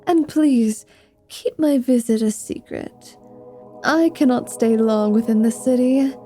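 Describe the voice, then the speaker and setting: A woman voicing a flirtatious, mischievous vampire paladin in an ASMR roleplay, with a teasing, drawn-out delivery. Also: on the high side, warm tone, soft background music. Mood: polite, demure. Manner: slow, teasing, sultry delivery with drawn-out words.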